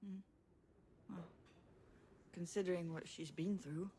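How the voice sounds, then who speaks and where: A woman's voice: fairly low pitch, fairly even intonation, slow pace, warm tone, slight background noise. A woman playing a vulnerable but blunt younger sister in a naturalistic video game drama, speaking candidly. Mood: hesitant, subdued.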